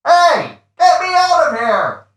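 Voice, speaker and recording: A man voicing a tiny, squeaky cartoon announcer who is trapped in a miniature world and prone to panic. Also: huge pitch swings, slow, a bit bright, reverberant, exaggerated voice. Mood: tiny, panicked. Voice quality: small, squeaky.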